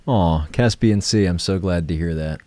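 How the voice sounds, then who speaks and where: A man hosting a live stream, guiding meditation and chatting casually in a gentle, unhurried, reflective way. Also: low-pitched, no echo.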